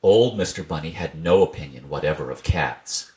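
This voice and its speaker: A man reading a classic children's storybook aloud in a gentle, measured storytelling manner. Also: rumbling low, animated, a little hiss or hum. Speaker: reader.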